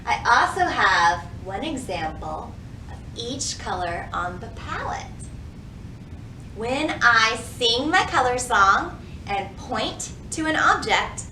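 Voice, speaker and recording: A woman hosting a children's storytime, reading and teaching in an animated way with careful enunciation for young listeners. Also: a little high. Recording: roomy echo, faint background noise.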